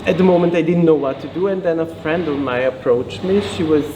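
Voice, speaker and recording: A man in his late thirties from Serbia, speaking English, telling his story in a relaxed, thoughtful interview manner. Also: fairly high pitch, fairly quick, background noise. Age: 30s. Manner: thoughtful, lightly laughing, hesitant fillers. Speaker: fashion designer guest.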